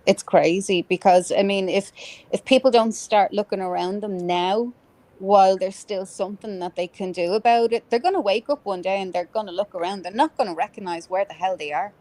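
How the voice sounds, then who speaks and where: A woman's voice: mid-range voice, steady tone, brisk, mellow. A woman in her fifties in a casual political chat, impassioned and earthy, swearing freely as she talks. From Ireland. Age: 50s.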